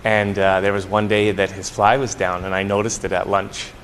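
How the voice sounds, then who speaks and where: A Canadian man telling a casual anecdote, halting and correcting himself. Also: fairly low pitch, level tone, a bit bright, rough recording. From Canada, Alberta. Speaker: colleague giving a tribute. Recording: faint background noise.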